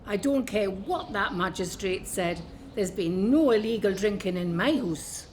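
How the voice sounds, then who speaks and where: A woman acting the sharp-tongued ghost of an old landlady in a radio play, with a Northumbrian accent and a scolding, bossy way of talking. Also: mid-range voice, expressive, slight background noise, natural voice. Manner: scolding, bossy, quick to defend herself.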